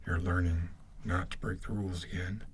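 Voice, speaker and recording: A man voicing a smug, menacing gang leader in a soft, intimate ASMR roleplay, speaking low and haltingly with mock kindness. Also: steady tone, slight background noise, close to an everyday voice. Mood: smug menace. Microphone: close ear-to-ear ASMR microphone.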